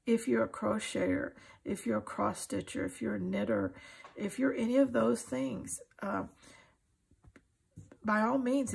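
An older woman with a husky, gravelly voice, giving an informal, folksy and encouraging craft demonstration. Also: on the high side, a little mellow. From United States. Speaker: craft tutorial host. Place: indoors at a craft table.

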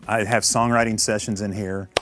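Low-voiced man touring his studio. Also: moderate pace. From Illinois, USA. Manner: relaxed, explanatory, technical. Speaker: studio owner giving a tour. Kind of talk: casual studio tour. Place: treated basement recording studio.

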